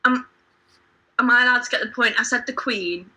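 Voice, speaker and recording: Casting: woman, slightly high with a husky edge, self-deprecating casual quiz banter. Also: moderate pace, bright, forceful tone, faint music behind. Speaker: quiz contestant.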